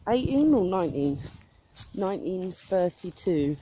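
A young woman vlogging as she walks through a churchyard, chatting softly and thoughtfully, filmed on a handheld camera at arm's length. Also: on the low side, animated, unhurried, highs cut off. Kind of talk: casual chat. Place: outdoor churchyard, windy. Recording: loud wind and outdoor noise.

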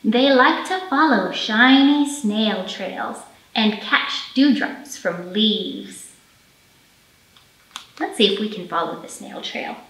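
A woman in her thirties leading a storytime for young children, speaking in simple, expressive phrases with plenty of questions. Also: medium pitch, slow. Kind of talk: storytime teaching, singing and picture-book reading for young children. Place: indoor library storytime room. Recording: roomy echo, faint music.

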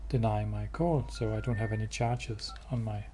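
A man giving a hands-on product demo indoors, explaining step by step in a halting, matter-of-fact way. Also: on the low side, fairly even intonation, loud music behind the voice. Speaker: product demonstrator. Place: indoors, showroom with wall-mounted devices. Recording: clear background noise.

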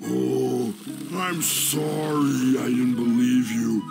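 A man voicing a huge, slow-witted, plaintive stone bridge troll in an animated fantasy game. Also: medium pitch, vocal fry. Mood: wounded, sentimental. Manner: plaintive, talks of himself in third person. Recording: music behind the voice.

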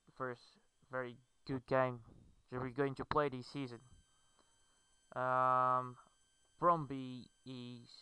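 Clear, bright-voiced Brazilian man. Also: mid-range voice, no echo, poor audio. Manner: hesitant, fillers, non-native phrasing. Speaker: gamer. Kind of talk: game commentary.

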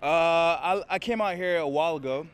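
A man's voice: fairly high pitch, moderate pace, clear, resonant tone. Comedian guy raised in haiti, loose and jokey, chatting in an interview. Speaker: stand-up comedian. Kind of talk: interview answers. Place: small stage. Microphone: handheld mic held by interviewer.

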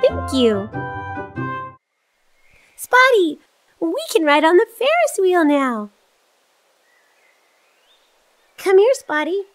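High, cheerful little cartoon girl. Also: very animated, soft background music, heavily acted. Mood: cheerful, excited. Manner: slow, simple, encouraging, pausing after key words. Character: cheerful, helpful little girl with a pet puppy. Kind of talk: children's cartoon dialogue addressed to young viewers.

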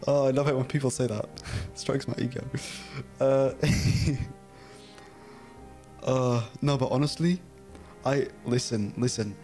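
A young Dutch man who speaks English with a British-style accent, talking casually at a mid-range pitch into a close mic in a small room at home. He giggles often, gets flustered easily and reads chat and game text aloud. Faint music plays in the background. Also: steady tone, moderate pace, dark tone. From Netherlands. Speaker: streamer.

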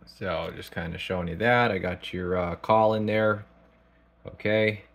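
A man giving a casual product demonstration as a technician, thinking aloud in a halting, informal way. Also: sits low, fairly even intonation. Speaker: radio technician. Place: small indoor electronics workshop.

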